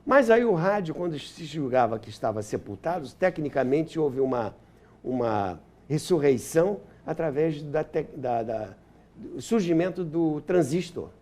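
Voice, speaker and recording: An older Brazilian man speaks as an interview guest in an anecdotal, explanatory way. His voice sits somewhat high and is mellow, with animated intonation. He often repeats a phrase to drive it home. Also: a little slow. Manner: explanatory, acts out quotes, repeats phrases for emphasis. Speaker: interview guest, historian and author.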